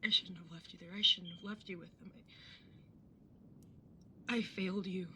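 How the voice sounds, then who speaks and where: Actress as bright-voiced, earnest older sister. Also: female voice, lowish voice, fairly even intonation, normal speed, slight background noise. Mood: remorseful, tender. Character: apologetic, protective older sister on a road trip. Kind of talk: audio drama acting with first-person narration.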